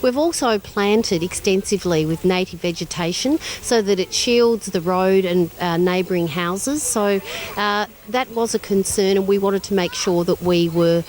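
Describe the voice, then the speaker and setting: Casting: mid-pitched Australian woman, assured civic official in an interview. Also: normal speed, a little mellow. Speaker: mayor interviewed. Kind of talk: news interview answer.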